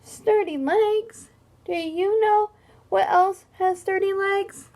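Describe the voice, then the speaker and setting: Lady doing a super sensitive hippo girl from a picture book, high slow whiny wailing, all offended. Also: fairly even intonation, darkish tone, heavily acted. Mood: indignant, wounded. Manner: dramatic, indignant, wailing. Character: oversensitive wailing young female hippo, easily wounded.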